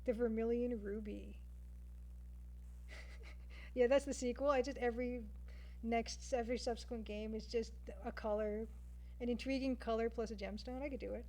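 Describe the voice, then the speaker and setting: Woman, Canadian accent, fairly high voice, casual livestream chat, chatty, thinking aloud. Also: fairly even intonation, moderate pace, pauses often, mellow, poor audio. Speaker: livestreamer, game artist. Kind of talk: casual chat with stream viewers while drawing.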